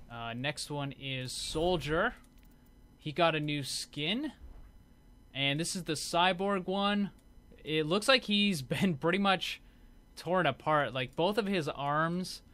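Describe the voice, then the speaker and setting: A man's voice: on the high side, fairly bright tone, clear, resonant tone. A man giving casual, off-the-cuff commentary as host of a gaming showcase video.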